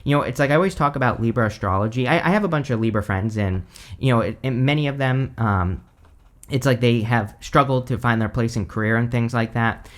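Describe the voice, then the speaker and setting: A man giving a casual tarot reading, hoarse because he is losing his voice, rambling with lots of "like" and "you know". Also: medium pitch, fairly quick. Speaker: tarot reader.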